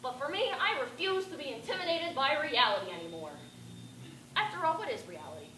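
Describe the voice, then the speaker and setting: A teenage girl playing an eccentric, rambling bag lady who talks to aliens, with a raspy voice and a ranting, confiding stage-monologue delivery. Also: sits high, very animated, fairly quick. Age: teens. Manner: ranting, confiding, addressing passers-by. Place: stage performance. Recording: echoey room with background noise.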